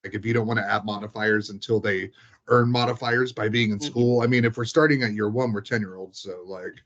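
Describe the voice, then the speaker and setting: A man's voice: lowish voice, fairly even intonation, a little fast, a bit bright, muffled recording. A man in a casual chat between tabletop gamers, talking in an informal, loose, conversational way.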